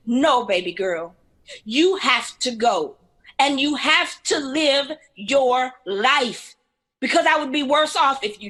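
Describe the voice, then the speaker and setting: Bright, high-pitched, animated younger sister. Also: female voice, exaggerated voice, rough recording. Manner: earnest, confrontational. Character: earnest, outspoken younger sister defending her choices. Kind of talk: audio drama acting.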